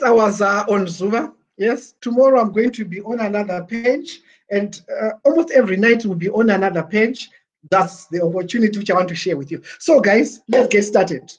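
A Zimbabwean man in his forties talking on a webcam livestream, animated and gesturing, slipping Shona words into his English. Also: high-pitched, normal speed, highs cut off. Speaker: livestream presenter. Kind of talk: casual livestream pitch. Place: small plain room indoors. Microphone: webcam at desk.

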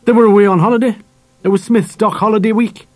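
A man voicing an angry, scornful working-class father in a storybook, gruff and scolding with colloquial swearing. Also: sits high, steady tone, fast talker, darkish tone, dry recording, voice pushed up. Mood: explaining.

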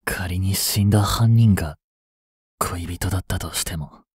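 Casting: male actor as young cop boyfriend for audio drama, mellow and breathy, emotional, halting and slow. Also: low-pitched, no room sound, studio quality, full character voice. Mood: subdued, grieving. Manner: halting, emotional delivery with sighs and pauses. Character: anguished young male police officer, devoted boyfriend. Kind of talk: dramatic Japanese situation voice acting.

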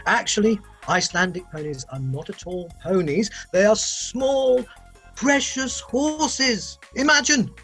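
A man's voice: high voice, a little slow, fairly bright tone, slightly rough, soft background music, heavily acted. An older actor playing an eccentric male inventor who rambles and gushes, speaking over a video call. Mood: gushing. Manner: rambling, gushing, sing-song emphasis. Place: home room, video call.

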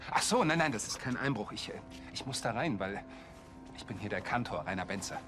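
An actor playing an anxious, flustered, hesitant church cantor in a TV crime comedy. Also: male voice, fairly low pitch, lively intonation, brisk, lightly acted. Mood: flustered, worried. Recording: music or organ behind.

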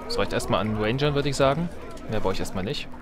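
A man's voice: lowish voice, fast talker, background noise. A man giving casual, jokey gaming commentary as a let's play host, playfully theatrical and muttering asides to himself. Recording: music behind the voice. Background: game music.

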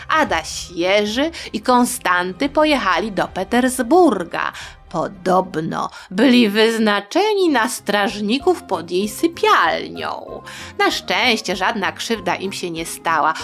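A woman's voice: mid-range voice, fairly bright tone. A woman storyteller narrating history for young listeners in a chatty, colloquial, tongue-in-cheek way. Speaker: storyteller and host.

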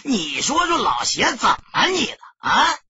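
Man playing a raspy, sharp, bullying gang boss. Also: extremely high pitch, wildly swooping pitch, measured pace, no echo, highs cut off, voice pushed up, full character voice. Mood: menacing, demanding. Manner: forceful, bullying. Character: menacing, extorting male gang boss.

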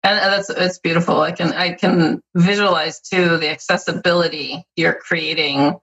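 Host type: woman, thirties, husky, lowish voice, thoughtful and meandering. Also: fairly even intonation, normal speed, a bit bright, dull audio. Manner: thoughtful, rambling with restarts and "like". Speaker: podcast host. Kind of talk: casual interview chat. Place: indoor room at home. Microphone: headphones on video call.

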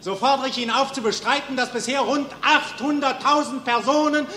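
An actor playing a defiant, bitter anti-war patient, declaiming indignantly in an old German radio play. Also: male voice, extremely high pitch, fairly even intonation, brisk, echoey room, a little hiss or hum. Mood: bitter, accusing. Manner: sharp, prophetic, indignant declaiming. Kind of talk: mid-20th-century German radio play acting.

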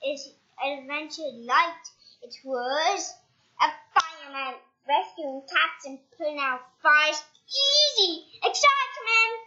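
A young child reading a picture book aloud in a halting, improvised way with stumbles. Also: high-pitched, bright, forceful tone, lo-fi sound. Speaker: storybook reader. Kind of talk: read-aloud children's storytime.

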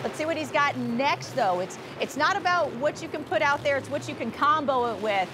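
A woman's voice: high-pitched, lively intonation, fairly quick, noisy background. A woman doing upbeat play-by-play commentary on a motorsport show, with engines behind her. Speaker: sports commentator. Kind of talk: arena sports commentary.

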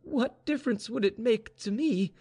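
A man reads as a young noblewoman from a Russian tale. The voice is lifted very high and swings widely in pitch. She is proud and frightened, throwing out indignant questions in her despair. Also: wildly swooping pitch, slow pace, vocal fry, dark tone, breathy edge, exaggerated voice. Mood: defiant grief. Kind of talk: storybook dialogue reading.

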